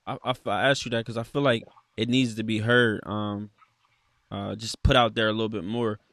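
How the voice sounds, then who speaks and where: A man hosting a casual interview podcast, laid-back and halting, with slangy phrasing. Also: fairly low pitch, level tone, fairly bright tone, no room sound.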